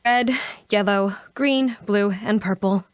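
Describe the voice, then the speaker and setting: A woman playing a principled, soft-hearted adventurer with an earnest, hesitant manner in a fantasy audio drama. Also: mid-range voice, takes it slowly, no echo, close to an everyday voice. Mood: troubled, hesitant.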